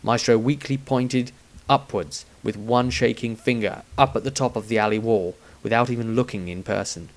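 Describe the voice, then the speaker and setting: Male fantasy storyteller, mid-pitched. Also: moderate pace. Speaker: author narrating his own story. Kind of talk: scripted dramatic fantasy narration.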